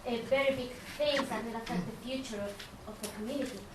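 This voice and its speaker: A woman with a fairly high voice asks a question from the audience at a panel. She hesitates and rambles, restarting her sentences often. She sounds distant from the mic in an echoing hall with a faint hum behind her. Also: quick pace. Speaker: audience member asking a question. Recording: distant, roomy hall recording.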